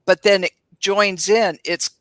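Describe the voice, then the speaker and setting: A woman's voice: medium pitch, fairly even intonation, measured pace, no room sound, studio quality. A woman of about seventy chatting casually and colloquially as co-host of a live stream.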